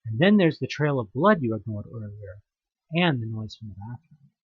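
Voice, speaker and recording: Male horror audio-drama narrator, theatrical and very animated, slightly husky, on a dull home recording. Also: mid-range voice, normal speed, no echo. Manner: theatrical, expressive delivery. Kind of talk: dramatic second-person scripted narration of a horror story.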